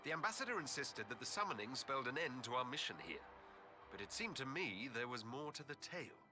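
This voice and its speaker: An actor playing a polite, earnest envoy in a video game, with courteous formal diction. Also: male voice, mid-range voice, very animated, a little fast, over music, natural voice. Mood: earnest, grave. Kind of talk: video game cutscene acting.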